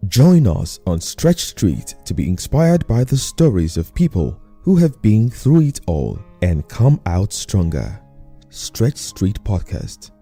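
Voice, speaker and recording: A man reading a slow, solemn, uplifting promo voice-over for a podcast. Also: on the low side, big pitch swings, dark tone, slightly rough. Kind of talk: inspirational voice-over. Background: music.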